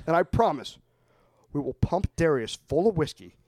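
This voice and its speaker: A man's voice: a little high, big pitch swings, moderate pace, darkish tone, no room sound. A man hosting a podcast, giving an upbeat, chatty outro that urges listeners to subscribe and review. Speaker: podcast host.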